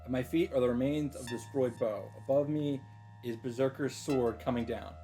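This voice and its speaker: A man's voice: mid-range voice, moderate pace, warm tone. A man reading a visual novel's first-person narration aloud in an earnest, dramatic way and commenting as he goes. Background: game music.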